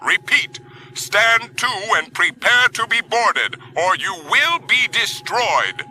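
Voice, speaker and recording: Sharp, threatening officer over radio. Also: male voice, high voice, big pitch swings, slow, slight background noise, exaggerated voice, low-quality recording. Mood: menacing. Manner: formal, commanding. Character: stern, threatening male Imperial military officer. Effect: comlink radio. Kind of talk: 1990s audio drama acting.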